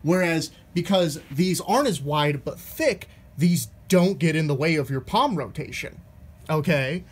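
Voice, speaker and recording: A man with a fairly high-pitched voice gives a casual product comparison. He speaks informally, like someone explaining gear to viewers from a room at home. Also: lively intonation, unhurried, breathy edge. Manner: informal, mildly profane. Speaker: fitness product reviewer.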